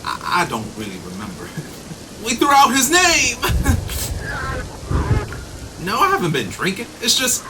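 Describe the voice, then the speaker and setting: A man's voice: extremely high pitch, huge pitch swings, a little slow, long pauses, far from the mic, background noise, exaggerated voice. A man playing a hapless, forgetful diner customer in a radio play, hesitant, stammering and dryly wry. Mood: playfully bluffing.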